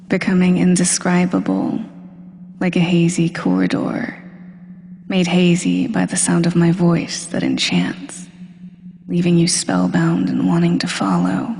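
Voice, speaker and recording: Low, creaky woman's voice in long reverb. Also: a little mellow. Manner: commanding, teasing, deliberate, suggestive. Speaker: hypnosis audio performer. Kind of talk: slow, intimate hypnosis roleplay monologue. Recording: long reverberant hall-like echo.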